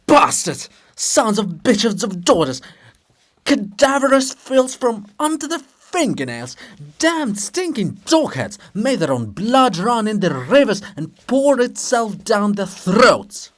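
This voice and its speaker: A man's voice: sits high, very animated, slow pace, voice pushed up, full character voice. A man voicing an indignant, foul-mouthed old male statue of a poet in a dramatic play reading. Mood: menacing fury. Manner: bellowing, cursing, indignant.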